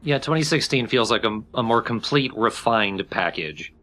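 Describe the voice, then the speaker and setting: A man's voice: medium pitch, animated, normal speed, pushed and bright, faint music behind. A man co-commentating on a let's play, giving considered opinions in a casual chat.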